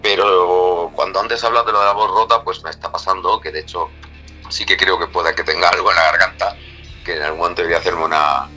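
A middle-aged Spanish rock singer speaks in a very low voice with a rough, cracked edge and a sharp, forceful tone. He rambles loosely through his answers and keeps tacking little questions onto the ends of his sentences. Music plays faintly under the interview. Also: steady tone, fast talker. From Spain. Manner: rambling, many restarts and tag questions. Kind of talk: casual interview talk.

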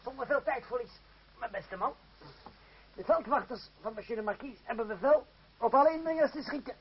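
A man's voice: very high-pitched, fairly quick. An actor playing a witty, mocking young man in a vintage radio play, speaking with sardonic theatrical irony. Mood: amused irony. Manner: sardonic, theatrical, talking to himself and others. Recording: muffled, hissy old radio drama recording.

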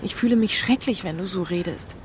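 An actress playing an earnest, troubled young fiancée in a crime radio play, restrained and serious. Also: female voice, medium pitch, a little fast, slight background noise, natural voice.

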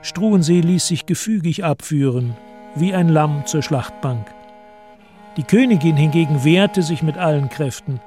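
A male narrator of a historical radio drama. He reads literary prose in a measured, deliberate way, his voice a touch high, over faint music. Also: warm tone. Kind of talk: scripted literary narration in a German radio play.

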